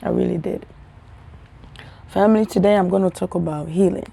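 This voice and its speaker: Gravelly, mellow-voiced woman talking casually. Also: on the low side, fairly even intonation, a little fast. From Africa. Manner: rambling, repeats words, drops into hushed tones. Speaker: spiritual healer vlogger. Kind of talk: casual spiritual talk to camera.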